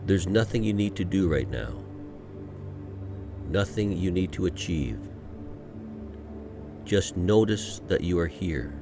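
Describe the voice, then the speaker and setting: Low voiced guy doing a guided meditation, really slow and gentle, lots of pauses, bit of music behind. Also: lively intonation. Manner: gentle, unhurried, soothing, with frequent pauses. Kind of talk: guided meditation, scripted narration.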